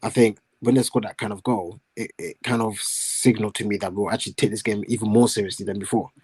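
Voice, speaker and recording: A man with a cold and a hoarse voice, giving a thoughtful, measured take on a football match over a remote call. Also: lowish voice, steady tone, a little mellow, dry recording. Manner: thoughtful, measured analysis with fillers. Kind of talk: casual live-stream chat.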